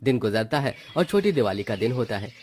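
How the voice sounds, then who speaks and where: Casting: male narrator for animated folk-style family tales, medium pitch, scripted storytelling delivery. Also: fairly quick, slight background noise.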